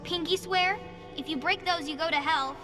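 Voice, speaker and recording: Casting: bossy young girl for game drama, very high voice, earnest, long reverb. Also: moderate pace, music in the background. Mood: intense, pleading. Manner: earnest, insistent. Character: intense, bossy, bug-loving little girl.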